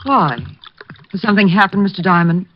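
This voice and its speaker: A woman's voice: medium pitch, brisk, a little hiss or hum, lightly acted. An actress playing a fretful young woman who has just lost her brother, in a 1950s radio drama. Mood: anxious. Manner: fretful, pleading. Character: anxious young woman, grieving sister. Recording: dry, muffled old radio broadcast.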